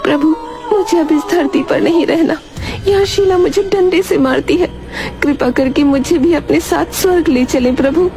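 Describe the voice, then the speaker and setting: A woman voicing a naive, stubborn talking cow in an earnest, plaintive way for an animated children's story. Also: high voice, normal speed, music in the background, voice pushed up. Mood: pleading.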